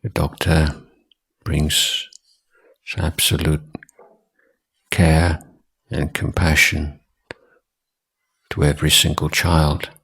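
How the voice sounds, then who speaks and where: An extremely low-pitched man leads a guided meditation in a husky voice. He speaks slowly and deliberately, leaving long pauses between short phrases as he instructs. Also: little pitch movement, fairly bright tone, poor audio. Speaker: Buddhist monk, meditation teacher.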